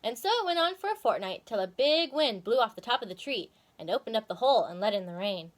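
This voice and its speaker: A woman reading a children's story aloud in a dramatic, expressive way. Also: fairly high pitch, fast.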